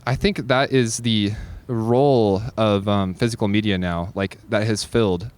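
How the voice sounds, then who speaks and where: A man's voice: fairly low pitch, steady tone, unhurried, clear, resonant tone. A man chatting casually in an interview, earnest and informal, thinking aloud as he goes. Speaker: cassette label owner and musician, interview guest.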